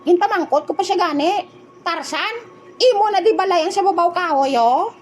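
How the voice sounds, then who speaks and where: Woman voicing a very high-pitched silly, cheeky boy. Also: moderate pace, slight background noise. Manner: playful, full of questioning interjections. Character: silly boy joker Noy Noy, cheeky and simple. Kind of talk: comic audio drama acting.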